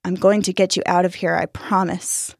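A woman's voice: fairly low pitch, little pitch movement, normal speed, creaky, no echo. Boy hero, read by a woman: earnest, protective, unsure but determined, storybook style, dry sound. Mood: pleading, resolute.

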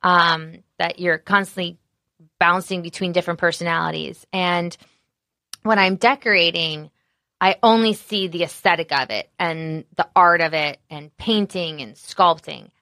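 Bright, loud-voiced woman chef. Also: fairly low pitch, a little slow, no room sound. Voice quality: naturally loud voice. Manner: animated, candid, many fillers. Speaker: executive chef. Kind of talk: casual interview conversation.

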